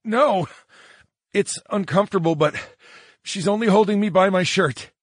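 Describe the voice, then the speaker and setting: A man's voice: high voice, normal speed, no room sound, clean studio sound, pitched above the performer's own voice. A man voicing a flustered young man in an audiobook, hesitant and strained, with some stammering. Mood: reassuring, strained. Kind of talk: audiobook character acting.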